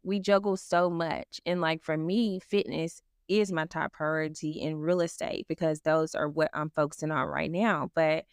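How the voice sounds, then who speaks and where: Smooth, low-pitched woman, encouraging. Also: fairly even intonation, moderate pace, few pauses, no echo, studio quality. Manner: encouraging, reflective. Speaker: podcast co-host. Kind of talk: casual chat.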